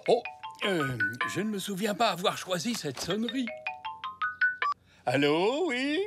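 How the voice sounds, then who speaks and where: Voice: mid-range voice, big pitch swings, unhurried, a bit bright, faint music behind. A performer voicing a kindly, slightly muddled old grandfather zebra in a French children's cartoon. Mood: puzzled.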